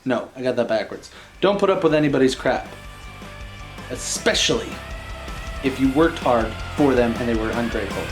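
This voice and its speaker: A man reading a children's bedtime story in an animated style, with wry, joking casual asides. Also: mid-range voice, normal speed, long pauses, faint music behind.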